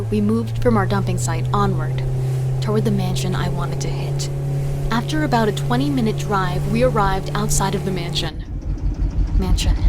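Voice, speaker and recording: A woman acts out a confident female serial killer in a horror audio drama. She is sly, scheming and seductive, relishing the thrill as she persuades. Clear boat-like ambient noise sits behind her voice. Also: mid-range voice, lightly acted. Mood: cool narrating. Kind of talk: audio drama acting with first-person narration.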